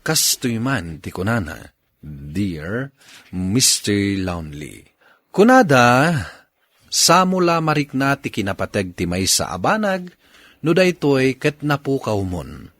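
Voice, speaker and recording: Animated Ilocano male drama host. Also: mid-range voice, slow, pauses often, no room sound. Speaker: radio drama host reading a listener's letter. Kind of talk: dramatic scripted narration.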